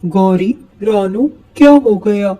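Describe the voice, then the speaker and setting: A woman voicing a male pigeon in a moral-story cartoon, a sensible peacemaker who advises calmly. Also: mid-range voice, slow pace, loud music behind the voice, lightly acted, rough recording. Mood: calm advice.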